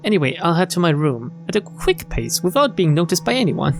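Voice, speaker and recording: A man's voice: a little high, very animated, brisk, soft background music. A man streaming a game, reading its narration aloud expressively with wry, casual commentary. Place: game stream with music behind.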